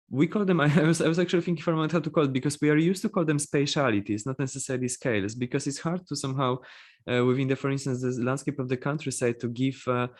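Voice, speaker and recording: A man moderating a panel discussion, thinking aloud and searching for words. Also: mid-range voice. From Poland.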